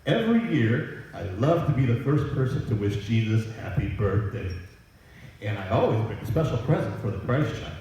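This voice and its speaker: Echoey, expressive man reading a Christmas story. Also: medium pitch, moderate pace, dull audio, a little hiss or hum. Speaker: storyteller playing Santa Claus. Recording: echoey room, distant microphone.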